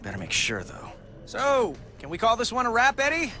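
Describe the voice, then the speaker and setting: A man's voice: very high voice, huge pitch swings, normal speed, pushed and bright, slight background noise, exaggerated voice. A man playing a quippy, sarcastic young superhero in a video game, chatting in an upbeat, wisecracking way. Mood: wry relief. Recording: music behind the voice.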